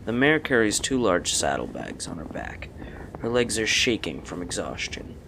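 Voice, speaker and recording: A man's voice: fairly low pitch, sing-song, normal speed, creaky, background noise. A man reading scripted fantasy story narration as the narrator of an audio drama.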